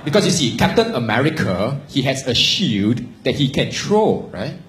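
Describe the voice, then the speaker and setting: Man doing stand-up in a club. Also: mid-range voice. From Singapore. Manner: deadpan, slow punchline delivery. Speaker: stand-up comedian. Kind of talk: stand-up set. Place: comedy club stage. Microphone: handheld mic. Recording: echoey club, audience noise behind.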